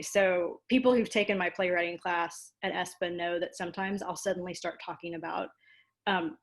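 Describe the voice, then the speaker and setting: A woman giving casual interview answers on an online video call, explaining her work in an informal, self-deprecating way. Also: medium pitch. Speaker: guest, dramaturg and producer.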